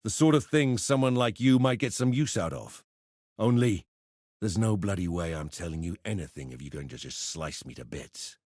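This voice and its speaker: A man's voice: deep voice, animated, normal speed, darkish tone, dry recording. A roguish pit fighter from a video game, swaggering and slangy. He talks like a theatrical, cheeky schemer with a tough edge. The recording is dry and close. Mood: wry, bargaining. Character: roguish adult male arena fighter and pitmaster turned syndicate member. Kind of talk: video game character voice lines.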